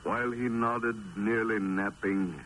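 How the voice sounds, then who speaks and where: A man's voice: on the low side, steady tone, unhurried, fairly bright tone. A man narrating dramatic verse in a solemn, theatrical 1950s radio style. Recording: noisy old 1950s radio recording.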